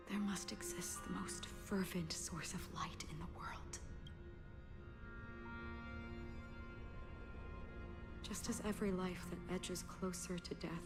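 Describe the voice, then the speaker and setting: Casting: woman to play a solemn female guide in a story game, low breathy delivery, philosophical and gentle, over clear music. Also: natural voice. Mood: tender, resolute. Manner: slow, measured, philosophical. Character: solemn, gentle, enigmatic woman guiding others out of a dream. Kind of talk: video game story dialogue acting. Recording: loud music under the voice.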